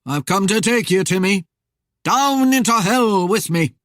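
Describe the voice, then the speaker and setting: A woman voicing a menacing male rider posing as vengeful Death, with an eerie, droning, booming voice and slow, archaic speech. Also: expressive, a bit bright, no room sound, studio quality. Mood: grim, vengeful.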